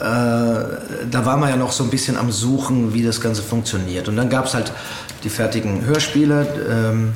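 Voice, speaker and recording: A man giving reflective interview answers as a voice actor, halting and hesitant as he searches for words. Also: medium pitch. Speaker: interview guest, audio drama voice actor. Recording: roomy echo with faint background noise.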